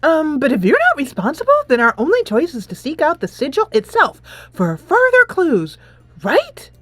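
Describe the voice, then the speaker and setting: A woman performs an eager schoolgirl sleuth from a visual novel game. She declaims in a high, grandly formal, theatrical way, with music behind. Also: huge pitch swings, normal speed, a bit bright, dry recording, heavily acted.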